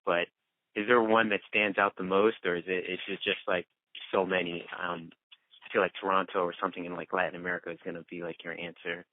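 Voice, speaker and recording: Low male interviewer on a phone line. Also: dry recording. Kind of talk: casual question-and-answer interview. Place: on a phone call. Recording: narrow, dry phone-call audio.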